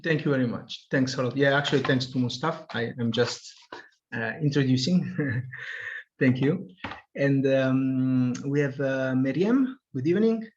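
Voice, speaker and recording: A man moderating an online webinar, polite and halting, often repeating words as he calls on each participant. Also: mid-range voice, slight background noise. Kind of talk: hosting an online meeting. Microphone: video call. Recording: muffled video-call audio.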